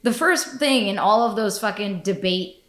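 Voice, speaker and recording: A woman's voice: medium pitch, expressive, normal speed, a bit bright. A woman hosting a podcast in casual chat, blunt and profane, laughing often. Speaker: podcast host. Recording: echoey room.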